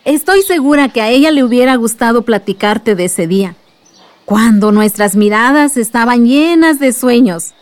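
A woman playing a kindly aunt with a Mexican accent, gently telling memories in a radio drama. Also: fairly high pitch, lively intonation, normal speed, natural voice. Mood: fond, nostalgic. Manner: gentle, reminiscing. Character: kindly aunt telling her village's past to a child.

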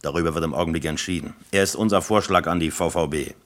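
A man's voice: low voice, quick pace, a bit bright. A man playing a brusque, authoritative East German factory party secretary in a German radio play, commanding and lecturing in manner. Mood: brisk, commanding.

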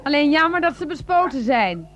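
A woman's voice: high-pitched, very animated, a little fast, a bit bright. A woman playing a sardonic, opinionated city wife in a radio play, with a dry, sarcastic way of talking. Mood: reproachful.

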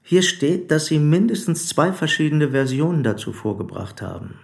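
An actor playing a probing, formal police commissioner in a German radio play, speaking deliberately and insistently. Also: male voice, on the high side, very animated, creaky.

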